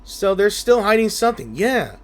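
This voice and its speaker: Animated man giving casual gameplay commentary. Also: high-pitched, normal speed. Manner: skeptical, rambling. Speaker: gaming video creator.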